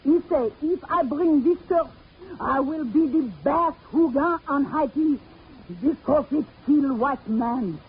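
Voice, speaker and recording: High-voiced, imperious old Haitian sorceress. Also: female voice, takes it slowly. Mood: grudging, ominous. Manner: imperious, broken English with short clipped phrases. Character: defiant, frightened old Haitian sorceress, proud and secretive. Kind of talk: 1940s radio acting. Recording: tinny, noisy old radio recording.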